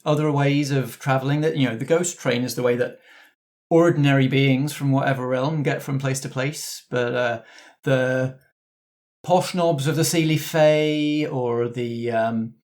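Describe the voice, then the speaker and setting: A man's voice: medium pitch, fairly even intonation, a little slow, a little mellow, natural voice. Man playing a chatty ghost-train conductor. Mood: chatty, unsure. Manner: chatty, colloquial, hesitant, repeating himself. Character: plain-spoken, protective male conductor of a ghost train.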